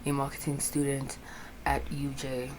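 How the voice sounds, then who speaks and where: A woman's voice: rumbling low, completely flat delivery, normal speed, noisy background. A South African woman in her early twenties chatting casually to camera, rambling and swearing freely, mixing in local words, recorded on a phone with a small mic. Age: 20s. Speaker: vlogger. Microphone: phone camera with a clip-on mic.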